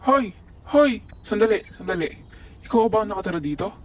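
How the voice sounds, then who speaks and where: A man's voice: high voice, animated, a little fast, a little hiss or hum. A man playing a bullying colonial civil guard in a radio play, barking and accusatory. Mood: barking, demanding. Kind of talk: radio play acting in Filipino.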